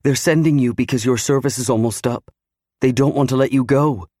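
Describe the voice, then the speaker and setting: A man's voice: medium pitch, brisk, darkish tone, no echo, clean studio sound, pitched above the performer's own voice, natural voice. A man voicing a distraught, pleading wife of twenty-nine in an audiobook, afraid of losing her husband. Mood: upset, accusing. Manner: pleading, protesting. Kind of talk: audiobook character acting.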